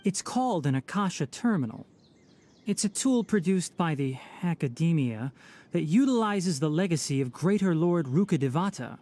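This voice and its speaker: A man's voice: fairly high pitch, sing-song, normal speed, a little mellow. An actor playing a young male forest watcher in a video game, polite, articulate, composed and firm. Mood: helpful, explanatory. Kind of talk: video game cutscene voice acting.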